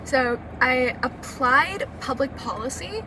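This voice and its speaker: A woman's voice: high-pitched, lively intonation, slow pace, background noise. A young woman from Thailand, chatting casually as an interview guest, laughing often. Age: young adult. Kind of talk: casual chat.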